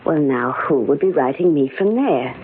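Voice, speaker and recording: A woman's voice: sits low, expressive, moderate pace, very noisy. An actress playing an anxious, embattled invalid lady, narrating and acting in a fraught, dramatic old-time radio style. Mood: guarded, bitter. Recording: tinny old radio recording.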